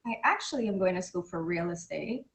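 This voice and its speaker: A woman's voice: lowish voice, expressive, a little fast. A woman from Canada whose first language is Portuguese, chatting as an interview guest in an easygoing, modest way. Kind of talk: casual interview.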